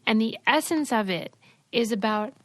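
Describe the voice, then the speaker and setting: A woman's voice: fairly high pitch, expressive, moderate pace, a bit bright, dry recording. A young woman co-hosting a podcast, telling stories in a chatty, emphatic way. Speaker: podcast co-host. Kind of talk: casual chat with a read ad.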